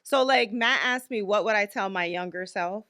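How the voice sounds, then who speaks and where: A woman's voice: medium pitch, moderate pace, sharp tone, clean tone. A woman in her fifties co-hosting a podcast, talking candidly and bluntly, with lots of filler likes. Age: 50s. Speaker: podcast co-host. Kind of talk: casual chat.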